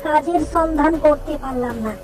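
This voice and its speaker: A woman's voice: sits high, a little mellow, faint music behind, natural voice. A woman voicing a poor young village boy who talks earnestly and anxiously. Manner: earnest, anxious, quick questions.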